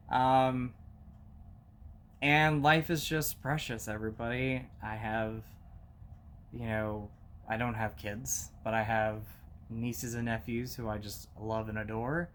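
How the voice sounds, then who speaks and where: A man in his thirties talks to his viewers in a slow, halting way, pausing often and starting over. His voice sits at an ordinary middle pitch, and the tone is sincere and personal, like a long-running host looking back. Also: a bit bright, slight background noise. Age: 30s. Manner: halting, many fillers and restarts, heartfelt. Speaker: movie collector YouTuber. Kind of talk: casual personal vlog talk.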